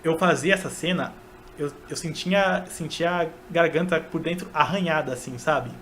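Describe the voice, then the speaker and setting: A Brazilian man hosting a casual podcast interview, speaking in a hesitant, halting way as he asks questions. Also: fairly high pitch, a bit bright, slight background noise.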